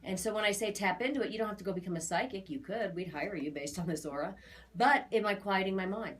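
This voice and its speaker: A woman's voice: mid-range voice, fast talker. A woman giving a conversational spiritual reading as an aura reader, talking in a chatty, emphatic, assured way.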